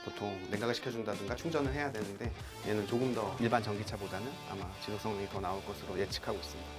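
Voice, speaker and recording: A man's voice: on the low side, steady tone, rapid-fire, over music. A man speaking politely and formally as an engineer explaining technical work in an interview. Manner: polite, formal, frequently agreeing. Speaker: automotive engineer, interview guest.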